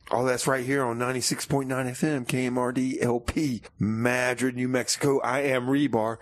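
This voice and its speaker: A man hosts a comic radio show in a medium-pitched voice. He rambles and hesitates, often doubling back to repeat words as if working it out on air. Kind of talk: comedic ad-libbed radio hosting.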